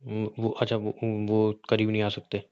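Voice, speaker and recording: A man's voice: fairly low pitch, completely flat delivery, a little slow, smooth, natural voice. A man playing a hot-tempered, suspicious young husband who badgers bluntly with repeated questions in a conversational audio drama. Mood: confused, badgering. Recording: dull, lo-fi call-recording sound.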